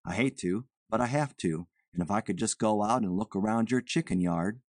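A woman's voice: a bass voice, moderate pace, a little mellow, dry recording, studio quality, pitched below the performer's own voice. A woman voicing a nervous, evasive man who poses as a chicken buyer, with folksy, ungrammatical, rambling talk. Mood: uneasy, hedging.

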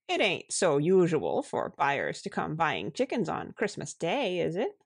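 A woman voicing a shrewd, good-natured, calm housewife who speaks wryly in foreign-tinged broken syntax. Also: sits low, a little slow, creaky, slightly rough, no room sound, studio quality, heavily acted. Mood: wry suspicion.

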